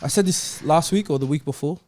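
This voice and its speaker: A man's voice: a little high, a little fast, a little mellow. A man in a casual football debate as a panellist, argumentative and insistent, repeating his points.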